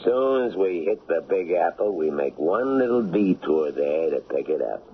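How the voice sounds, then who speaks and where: A scheming, menacing drug smuggler in a radio play. His voice is rock-bottom low and full of gravel, and he talks in slangy, brash bursts. The old broadcast sounds muffled. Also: sing-song, normal speed, heavily acted. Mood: sly, boastful. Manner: slangy, brash street-tough talk. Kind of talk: radio drama acting.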